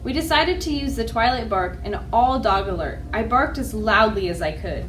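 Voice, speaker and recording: A woman's voice: a little high, lively intonation, normal speed, a bit bright. A woman storyteller reading a children's storybook aloud expressively, with a dramatic manner. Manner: dramatic, with asides to young listeners. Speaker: library storyteller. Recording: echoey room with faint music.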